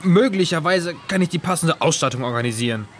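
Male actor: defensive, pedantic lifeguard for children's radio play, slightly high voice, faint ambient noise. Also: animated, quick pace, close to an everyday voice. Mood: defensive, officious. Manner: officious, pedantic, flustered. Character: fussy, officious male pool lifeguard, defensive. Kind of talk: children's audio drama.

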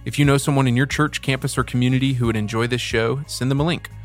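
A man's voice: medium pitch, fairly even intonation, fast, faint music behind. A man hosting a podcast, giving introductions and interviewing a guest in a conversational, presenter-like style. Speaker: podcast host.

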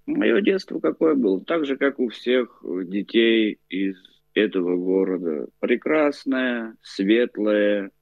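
A man in his forties from Azerbaijan, a guest telling his life story in a casual interview, halting with many fillers. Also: on the low side, lively intonation, creaky, no room sound, narrow, tinny audio. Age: 40s.

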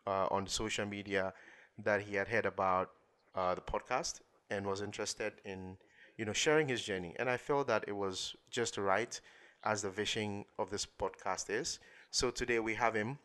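Deep-voiced male podcast host. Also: fairly even intonation, moderate pace, fairly bright tone. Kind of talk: podcast interview.

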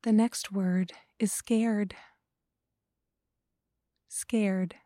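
A woman guiding a breathing meditation, speaking slowly and softly and often in a whisper. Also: medium pitch, steady tone, no room sound, studio quality. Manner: slow, soft, often whispered. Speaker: meditation guide.

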